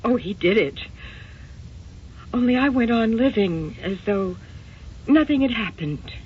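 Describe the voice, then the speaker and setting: An American woman playing a wounded, evasive wife haunted by lost love, speaking in an emotional, halting way in an old-time radio drama. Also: a little high, animated. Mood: wounded, anguished. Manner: emotional, halting, old-fashioned genteel exclamations. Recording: dull, noisy old radio recording.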